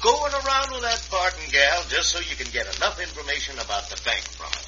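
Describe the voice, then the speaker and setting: A man's voice: fairly high pitch, big pitch swings, brisk. An actor playing a sly, snickering outlaw henchman in a Western radio drama, gloating in a drawl. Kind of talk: 1950s Western radio acting. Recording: dull, very noisy old radio recording.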